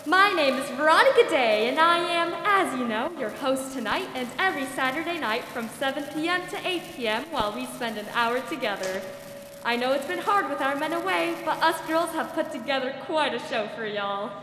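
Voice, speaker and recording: A bright, high-voiced 1940s radio hostess acted by a young woman, gracious and upbeat. Her announcing is folksy and polished, with strong hall echo and faint noise. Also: expressive, normal speed. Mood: welcoming, upbeat. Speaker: radio show host. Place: school theatre stage, indoors. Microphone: stand microphone.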